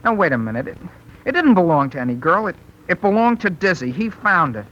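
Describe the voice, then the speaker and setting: A man's voice: high-pitched, big pitch swings, a little fast. An actor playing an earnest, flustered teenage boy who stammers and pleads in a 1930s radio comedy. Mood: pleading. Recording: noisy, dull 1930s radio recording.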